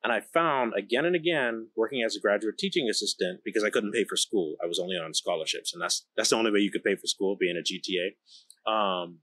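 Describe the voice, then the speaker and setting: A man's voice: lowish voice, a little fast, bright, forceful tone, no echo, low-quality recording. A man in his thirties from the Bahamas, telling his story candidly and emphatically in an interview on a close microphone. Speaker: interview guest, company founder and engineer. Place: large indoor electronics production floor, seated. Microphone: close podcast microphone on boom arm.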